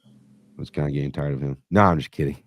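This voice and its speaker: A man hosting a podcast in brash, profane banter, his voice hoarse and raspy after being sick. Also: very deep voice, steady tone, fast talker, no echo, clean studio sound. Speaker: podcast host. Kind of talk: casual crude banter.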